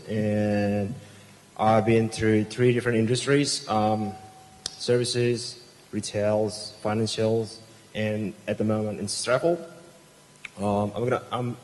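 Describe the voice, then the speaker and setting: A man speaks haltingly on a panel, often repeating words before moving on. His voice sits fairly low. A long hall reverb and faint background noise surround him. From Indonesia.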